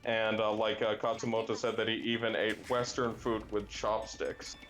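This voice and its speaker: A young man chats as he plays, his voice sitting fairly low. He muses aloud and keeps stopping to restart his sentences with ums and uhs. Faint game music hums underneath. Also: little pitch movement, fairly bright tone. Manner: musing, halting with many fillers and restarts. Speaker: language gaming guest. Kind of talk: casual chat while gaming.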